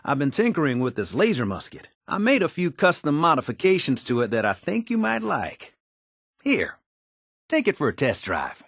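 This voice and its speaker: An actor playing a folksy, wisecracking American tinkerer in a video game, talking in casual slang. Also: male voice, a little high, huge pitch swings, moderate pace, gravelly, fairly bright tone, slightly rough, dry recording, studio quality, heavily acted. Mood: helpful, easygoing. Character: folksy, wisecracking male tinkerer and scrappy settler ally.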